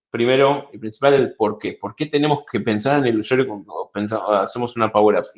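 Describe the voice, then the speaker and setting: An Argentine man with a mid-pitched voice gives a reflective conference talk, pausing often on fillers and restarting his phrases. The recording sounds dull and a little muffled. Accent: Argentine Spanish. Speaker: conference speaker.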